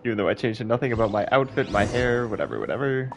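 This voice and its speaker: A man's voice: mid-range voice, lively intonation, fast. A man giving casual, sarcastic, foul-mouthed off-the-cuff commentary over a video game.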